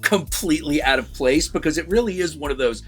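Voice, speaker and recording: A man co-hosting a casual film review, chatting in an informal, animated, sweary way. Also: fairly high pitch, brisk, breathy edge, faint music behind. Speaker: movie review co-host.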